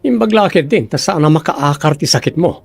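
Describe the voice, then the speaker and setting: Creaky, animated family man. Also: fairly high pitch, brisk, faint music behind, heavily acted. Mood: teasing. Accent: Ilocano. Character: male family member of a sick young man, by turns teasing and patient. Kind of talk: Ilocano radio drama acting.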